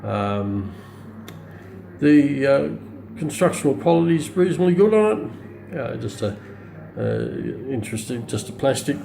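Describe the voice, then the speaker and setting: A middle-aged man with an Australian accent giving a casual, unscripted product demonstration, pausing often as he goes. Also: medium pitch, lively intonation, vocal fry, warm tone, soft background music. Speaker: hobbyist radio reviewer. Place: indoors on a hard countertop, small room. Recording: roomy echo, faint background noise.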